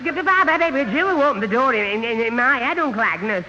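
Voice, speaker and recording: Stammering, high-voiced gormless young man. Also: expressive, fast, pushed and bright, heavily acted. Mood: flustered. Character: flustered, gormless, accident-prone young man. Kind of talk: 1970 British radio sitcom acting. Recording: muffled, noisy old radio recording.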